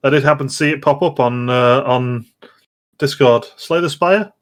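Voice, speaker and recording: A man's voice: mid-range voice, moderate pace. A man co-hosting a gaming podcast, chatting casually with friends. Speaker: podcast co-host. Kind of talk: casual chat among gamers.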